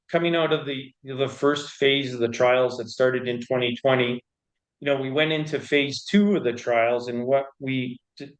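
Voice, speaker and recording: A man explaining his business in an interview, speaking in halting, piecemeal phrases and often repeating words. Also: medium pitch, rough recording. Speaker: tech company founder and executive being interviewed.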